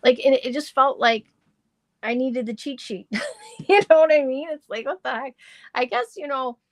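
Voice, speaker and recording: A middle-aged woman doing live astrology and tarot readings for viewers, rambling and chatty with lots of fillers, her voice slightly hoarse and deepened. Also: normal speed, no room sound.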